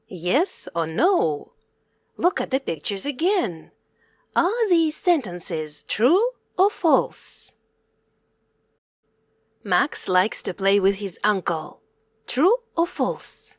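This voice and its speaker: A woman's voice: a little high, sing-song, extremely slow, long pauses, no echo. A woman reading a children's story aloud in simple, separated phrases with a teacherly manner.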